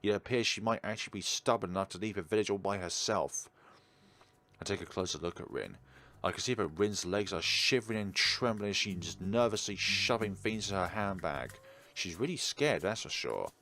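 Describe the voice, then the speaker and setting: A man's voice: on the low side, expressive, brisk, bright, forceful tone. A man hosting a let's play, reading game narration aloud and adding teasing, argumentative asides.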